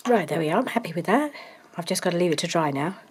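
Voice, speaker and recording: A woman's voice: lowish voice, expressive, quick pace, breathy edge. A woman, chatting casually about her crafting project, congested from a cold and rambling with many ums. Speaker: craft vlogger.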